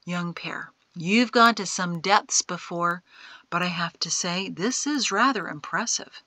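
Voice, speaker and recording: A calm old man speaking out of the dark in an audiobook, acted by a woman. The voice is hushed and breathy, a little low, with a slow pace. He sounds wry and gently chides. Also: dry recording. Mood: wryly sarcastic. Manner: unhurried, gently chiding. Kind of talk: audiobook character acting.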